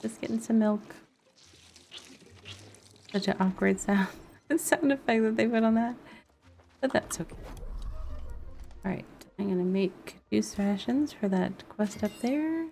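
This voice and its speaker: A woman's voice: mid-range voice, measured pace, gravelly, pauses often, dark tone, a little hiss or hum. A woman doing casual live gameplay commentary, thinking aloud and musing to herself as she plays. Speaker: game streamer. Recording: music behind the voice. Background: background music and game sound.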